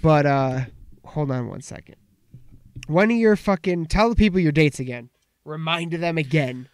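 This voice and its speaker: A young man with a head cold hosts a podcast, talking in a loose, rambling and profane way. His gravelly voice sits a little high and comes through crisp. The room sounds dry and close. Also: normal speed, no room sound. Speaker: podcast host. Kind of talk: casual podcast chat.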